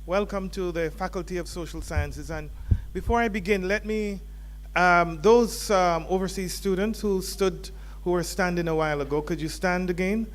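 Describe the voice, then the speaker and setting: A man's voice: high-pitched, normal speed. A man giving a welcome address to students as faculty dean, informal and joking with the audience in a lecture hall. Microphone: handheld microphone.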